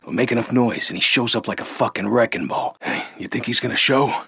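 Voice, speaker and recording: An actor voicing a nervous, tough-talking criminal thug in a video game, streetwise and jittery, with a gravelly rasp. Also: male voice, medium pitch, fairly quick, pushed and bright, dry recording, clean studio sound, exaggerated voice. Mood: nervous, swaggering. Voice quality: raspy, gravelly.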